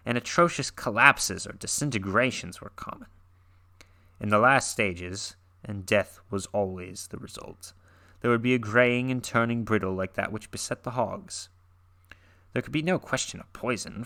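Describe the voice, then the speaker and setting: A man giving a dramatic reading of a classic horror story, swinging his loudness and pace for suspense and now and then stumbling and correcting himself. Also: mid-range voice. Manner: occasional stumbles and self-corrections. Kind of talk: dramatic read-through of classic horror fiction.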